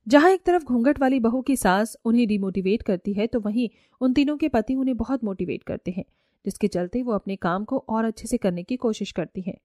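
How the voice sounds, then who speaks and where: A woman's voice: a little high, fairly quick, mellow, no room sound, clean studio sound. A woman narrating a scripted story as its narrator.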